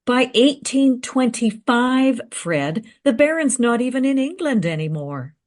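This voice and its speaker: An actress playing a confident, eager researcher in a radio play, recounting history in a teasing, matter-of-fact way. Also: female voice, on the high side, very animated, a little slow, a little mellow. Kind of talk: radio play dialogue, storytelling.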